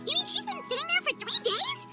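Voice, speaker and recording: A woman voicing a tiny childlike fairy companion in a video game, squeaky, cutesy, inquisitive and excitable. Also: fairly quick, music in the background. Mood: puzzled, incredulous. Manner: cutesy, exclamatory, speaks of self in third person. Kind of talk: video game cutscene voice acting.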